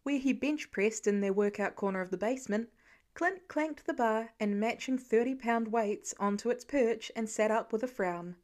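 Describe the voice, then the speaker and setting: A woman with a fairly high voice narrates a story. She reads scripted fiction in the manner of an audiobook narrator. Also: moderate pace, darkish tone.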